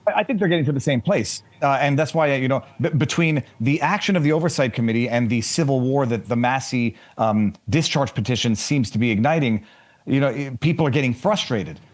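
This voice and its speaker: A man, a guest commentator, answering in a halting way with many fillers and restarts. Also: medium pitch. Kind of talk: political interview.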